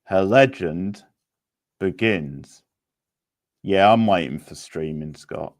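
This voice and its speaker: Deep, gravelly man hosting a casual quiz. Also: slow pace. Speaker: quiz host. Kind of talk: live-streamed quiz hosting, casual chat.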